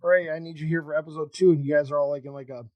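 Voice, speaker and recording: A man hosting a casual interview podcast, talking in a halting, rambling, informal way. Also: on the high side, fairly even intonation, no echo, muffled recording. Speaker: podcast host.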